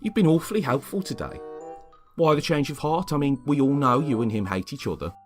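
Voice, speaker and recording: A suspicious male neighbourhood patrolman, acted out by a man reading a story, pitched well above a deep narrator. He is bossy, challenging people and firing questions, with light music behind. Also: expressive, a little fast, lightly acted.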